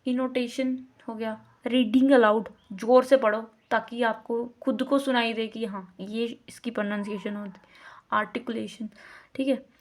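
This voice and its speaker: A woman with an Indian accent teaches a course unit at an unhurried pace, her voice sitting a little high. She weaves English terms into her explanations and says words over and over to model pronunciation. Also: fairly even intonation.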